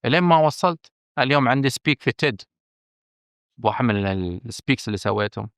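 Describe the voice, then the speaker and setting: A man with a Bahraini accent, a film director speaking candidly as a podcast guest, emphatic and earnest, often pausing on fillers and restarting. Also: medium pitch, lively intonation, no room sound, studio quality. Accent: Bahraini Arabic. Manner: earnest and emphatic, stressing his frankness, with hesitant fillers and restarts.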